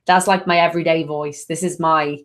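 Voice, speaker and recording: A middle-aged woman from Nottingham, England, giving a live angel card reading in a gentle, soothing, affectionate way, with lots of fillers and endearments. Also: deep voice, fairly even intonation, normal speed.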